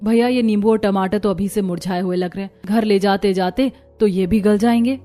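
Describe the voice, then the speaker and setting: A woman's voice: mid-range voice, steady tone, a little fast, warm tone, faint music behind, lightly acted. A woman voicing a worried, earnest young village daughter-in-law in a cartoon, anxious and pleading.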